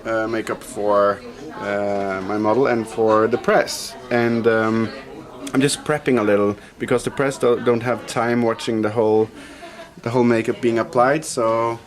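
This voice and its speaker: A man working as a makeup artist, talking in a short event interview in a halting way with fillers. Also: mid-range voice. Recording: loud crowd chatter behind.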